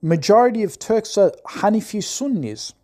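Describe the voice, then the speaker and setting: A man's voice: sits high, lively intonation, moderate pace, warm tone. A man in an impassioned, scolding monologue who keeps asking "right?". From Australia. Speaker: commentator.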